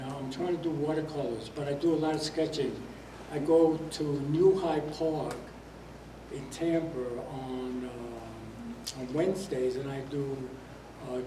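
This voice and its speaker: Hesitant older man from Puerto Rico. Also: fairly high pitch. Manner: hesitant, many fillers. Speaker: new member introducing himself. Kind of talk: casual self-introduction. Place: meeting hall. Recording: echoey hall, distant noisy mic.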